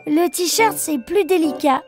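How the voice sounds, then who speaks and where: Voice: high voice, unhurried, music in the background. An actor voicing a cheerful, curious little boy bear in a children's cartoon, with a childlike, playful delivery in simple phrases. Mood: playful, proud. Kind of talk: children's cartoon dialogue and rhymes.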